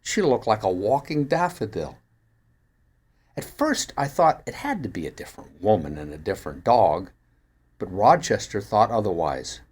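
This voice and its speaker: A man's voice: fairly low pitch, very animated, normal speed, a little mellow, slightly rough. Man narrating a mystery short story. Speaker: actor reading a short story. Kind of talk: first-person audiobook narration of a mystery story.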